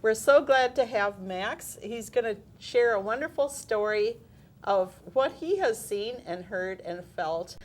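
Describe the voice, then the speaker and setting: Soft-spoken woman hosting a faith talk show. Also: a little high, lively intonation, unhurried. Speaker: show host.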